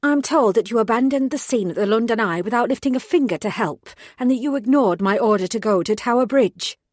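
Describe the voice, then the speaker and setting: A woman voicing a stern female police superior who talks in curt, sharp demands. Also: fairly high pitch, lively intonation, a little fast, no echo, studio quality, pitched above the performer's own voice. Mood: angry. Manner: snapping, sharp.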